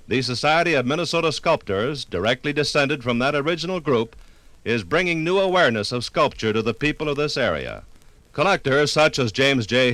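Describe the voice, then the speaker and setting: A man reading scripted 1950s radio documentary narration in a formal, measured manner. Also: mid-range voice, a bit bright, no room sound.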